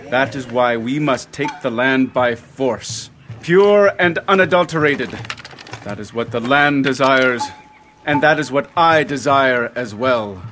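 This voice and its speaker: An actor playing a ruthless, ambitious warlord of feudal Japan in a video game cutscene, speaking in a grave, commanding, portentous manner. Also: male voice, medium pitch, lively intonation, measured pace, fairly bright tone, slight background noise. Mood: forceful resolve.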